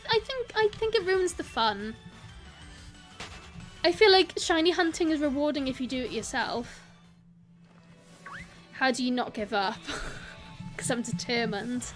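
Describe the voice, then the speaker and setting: A young woman with a South Welsh accent chats in a bright, high voice while streaming a game. She rambles between topics, laughs a lot and welcomes viewers by name. Quiet game music plays in the background. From South Wales. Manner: chatty, giggly, greets viewers, rambling asides. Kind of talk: casual live-stream chat while gaming.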